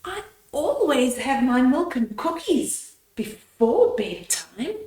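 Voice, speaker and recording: A woman's voice: high voice, very animated, dark tone, echoey room, heavily acted. A woman voicing a pleading, stubborn little bunny girl in a children's storybook, drawing out her protests. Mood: pleading, protesting. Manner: drawn-out, protesting. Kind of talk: children's storybook read-aloud.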